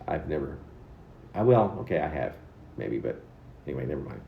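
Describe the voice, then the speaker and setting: A man reading his own poem aloud theatrically, in an arch, mannered, halting delivery with dramatic emphasis. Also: extremely low pitch, big pitch swings, vocal fry, echoey room, dull audio, slight background noise. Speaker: poet reading own work.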